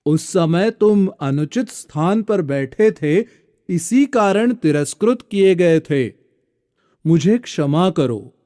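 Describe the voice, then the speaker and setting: Man as a measured, courteous, wealthy townsman. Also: sits high, expressive, warm tone, no echo, clean studio sound. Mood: conciliatory. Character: dignified wealthy townsman, wronged but diplomatic.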